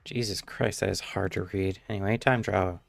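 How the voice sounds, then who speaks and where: A man's voice: sits low, fairly even intonation, moderate pace, creaky. A man giving casual, offhand gameplay commentary as a live streamer, muttering his reactions. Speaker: live streamer playing a game. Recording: faint game music behind. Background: game music.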